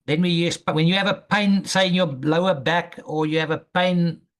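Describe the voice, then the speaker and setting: A man teaching an English lesson, speaking slowly and patiently and repeating phrases for a student to copy. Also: on the high side, fairly even intonation, fairly bright tone. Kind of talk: language lesson drills.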